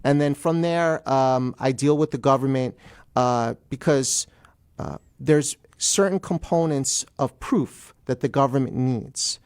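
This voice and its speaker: A man's voice: mid-range voice, a little slow, darkish tone, clean tone. A middle-aged man speaking as a guest attorney in a radio interview, explaining procedure in an emphatic way.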